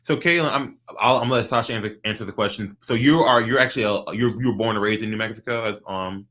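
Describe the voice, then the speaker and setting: A man hosts a casual conversation with students. He talks in a thoughtful, meandering way and often restarts his sentences. His voice sits a little low. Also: quick pace, fairly bright tone. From North Carolina, USA. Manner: thoughtful, rambling, many restarts. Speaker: host. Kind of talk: casual interview hosting.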